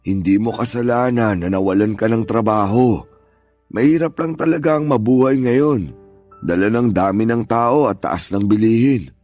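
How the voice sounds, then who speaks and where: A man's voice: mid-range voice, natural voice. An actor playing an elderly Filipino grandfather, stubborn but joking and reassuring, in radio drama style. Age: older. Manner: gentle, reassuring, fatherly.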